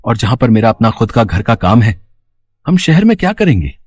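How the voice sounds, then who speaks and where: Man playing an earnest, husky, animated young son. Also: medium pitch, brisk. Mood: persuasive. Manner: earnest, pleading, persuasive. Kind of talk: Hindi cartoon moral story acting.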